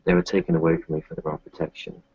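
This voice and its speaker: A man playing a weary, kindly doctor in a tabletop roleplay, giving measured, melancholy advice. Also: very deep voice, monotone, creaky, mellow, husky, lo-fi sound, full character voice. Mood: sorrowful. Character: weary, kindly male kinfolk doctor who lost his children. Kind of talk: tabletop roleplay, improvised in character.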